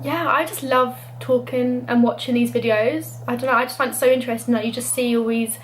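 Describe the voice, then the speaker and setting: Young british girl vlogging, kinda high voice, says like a lot. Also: fairly even intonation, brisk, slight background noise, low-quality recording. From Britain. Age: young adult. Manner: chatty, lots of "like" fillers. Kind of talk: casual chat.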